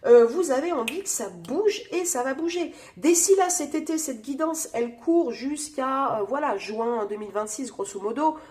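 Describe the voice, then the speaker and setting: A high-pitched woman reading tarot cards, her voice nasal and stuffed up from a cold. She chats casually with plenty of fillers and speaks straight to her viewers. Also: lively intonation, normal speed, few pauses, a little mellow. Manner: chatty, many fillers, addresses viewers directly. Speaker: tarot reader.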